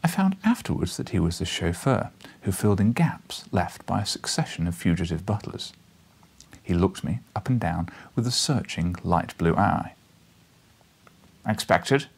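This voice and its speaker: A man in his thirties narrates a classic story. His voice is fairly low and very husky, with a gravelly creak and expressive phrasing. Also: normal speed. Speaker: audiobook narrator. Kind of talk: expressive literary reading. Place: small book-lined living room, indoors.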